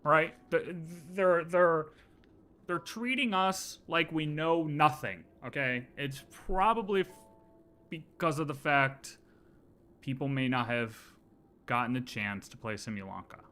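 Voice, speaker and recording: A man giving casual live reactions to a video game as a streamer, with wry, halting asides. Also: fairly high pitch, sharp tone. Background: game music.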